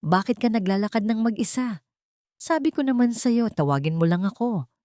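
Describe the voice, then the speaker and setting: A woman's voice: a little high, lively intonation, moderate pace, mellow, no room sound, clean studio sound, voice pushed up, lightly acted. A woman voicing a devoted, protective rich husband with an earnest, assured manner in a romance drama.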